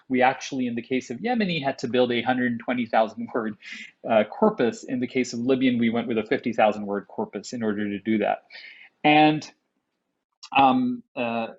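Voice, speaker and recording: Male panelist, mid pitch, halting unscripted delivery, slightly muffled lo-fi audio. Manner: restarts and repeats words often. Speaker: panel speaker. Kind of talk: conference panel remarks.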